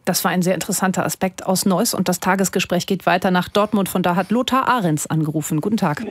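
A woman hosting a radio call-in show, brisk and polite. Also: lowish voice, dry recording. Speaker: radio host.

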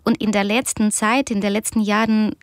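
A woman in her thirties, a doctor and author whose first language is Russian, answering questions in a radio interview with many pauses and fillers. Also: medium pitch, little pitch movement, dry recording, studio quality. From Ukraine.

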